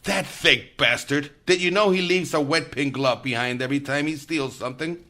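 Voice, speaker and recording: A man's voice: fairly high pitch, moderate pace, pushed and bright. A man playing a blustery, emphatic FBI special agent in a radio mystery.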